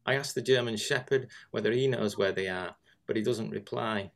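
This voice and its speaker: Creaky-voiced man reading prose. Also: fairly low pitch, normal speed. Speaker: reader. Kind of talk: scripted literary narration.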